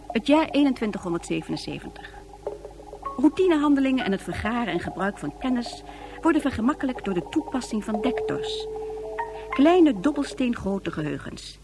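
Female storyteller, scripted radio play narration, deliberate dramatic phrasing, medium pitch, music behind.

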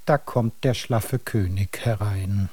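A man's voice: lowish voice, slow, darkish tone, no room sound. A man narrating a radio drama from a script in a matter-of-fact way.

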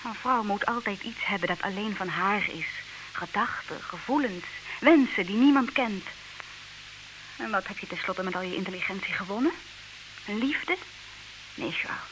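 An actress playing a proud, disillusioned wife in an old radio play, speaking in measured, reproachful, theatrical diction. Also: female voice, medium pitch, natural voice. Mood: bitter. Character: disillusioned, proud wife leaving her clever husband. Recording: hissy old radio recording, clear noise.

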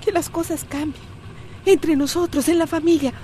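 A woman's voice: very high-pitched, steady tone, normal speed, warm tone, slight background noise. A woman with a Costa Rican accent playing a weary but determined wife and mother in a radio drama. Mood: pleading, firm.

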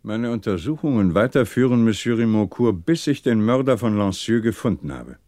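A man's voice: lowish voice, animated, normal speed, a little mellow, natural voice. A male actor playing a sarcastic, relentless French police commissioner who probes pointedly in a 1960s German radio crime drama. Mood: calm, dry. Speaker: police commissioner interrogating suspects.

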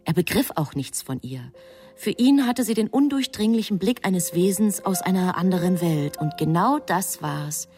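A composed female angel recounting a divine plan in a radio drama. The low voice moves in measured, literary sentences with dry formality. Faint music plays behind it. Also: animated, darkish tone. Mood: calm narration. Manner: measured, wryly formal storytelling. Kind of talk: literary radio play narration.

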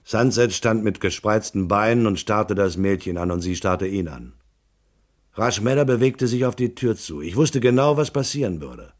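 A man's voice: lowish voice, animated, moderate pace, natural voice. An actor playing a cool, tough private detective in a noir radio drama, laconic and matter-of-fact as he narrates and talks. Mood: cool, narrating. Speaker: narrator and lead character.

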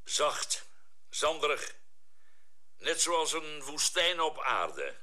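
A man acting a calm, practical ship's doctor in a science fiction radio drama, measured and matter-of-fact. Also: on the high side, exaggerated voice.

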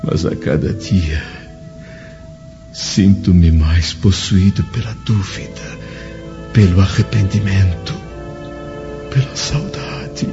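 Slow, grieving Roman senator. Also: male voice, low voice. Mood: anguished, remorseful. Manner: grave, sighing, anguished theatrical monologue. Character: proud old Roman senator, grieving, tormented widower. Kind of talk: radio drama acting. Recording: dull lo-fi, music behind voice.

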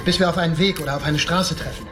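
A man's voice: a little high, steady tone, fast, background noise, natural voice. An actor playing Milton, a male survivor who takes charge firmly, in a radio drama. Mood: determined. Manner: firm, commanding.

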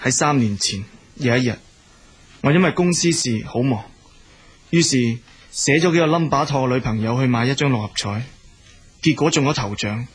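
An actor playing an earnest, forgiving young boyfriend in a radio drama, speaking Hong Kong Cantonese with emotional delivery. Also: male voice, medium pitch, moderate pace, pauses often, breathy edge, natural voice. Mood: remorseful, tender.

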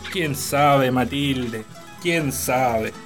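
A man's voice: medium pitch, very slow, a bit bright, soft background music. A man playing a genial father, head of a country estate, in a radio play. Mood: genial, musing.

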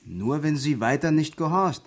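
A man's voice: fairly high pitch, expressive, moderate pace. An actor playing a devout, earnest refugee man from Egypt in a radio drama, speaking German as an in solemn, simple sentences. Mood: preaching, certain.

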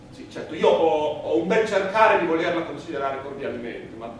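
High-voiced defiant anarchist in the dock, played by an actor. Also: male voice, fairly bright tone. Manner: eloquent, halting then biting. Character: proud, defiant condemned Italian anarchist addressing the prosecutor. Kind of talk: theatrical courtroom monologue. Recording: roomy, distant stage recording with faint noise.

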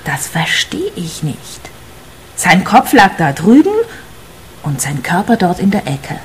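A woman's voice: lowish voice, very animated, a little slow, faint music behind. An actress playing an anxious, earnest woman who seeks a detective's protection, in radio play dialogue. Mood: bewildered, insistent. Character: anxious, insistent female client seeking protection.

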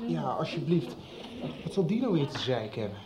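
An actor playing a defiant, curt secondary-school student in a radio soap. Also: male voice, fairly high pitch, sing-song, brisk, background noise. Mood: sullen. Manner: curt, insolent. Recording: roomy radio drama recording.

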